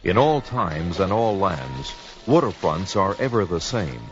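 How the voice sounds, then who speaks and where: A man's voice: sits low, very animated, measured pace, highs cut off, soft background music. A man giving dramatic scripted narration as the storyteller on a vintage adventure record.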